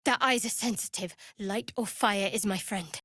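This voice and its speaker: A woman playing a guarded, sardonic young cleric of a dark goddess in a fantasy video game, speaking in a dry, measured, wry way. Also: medium pitch, slightly rough, no echo. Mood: grave, quiet.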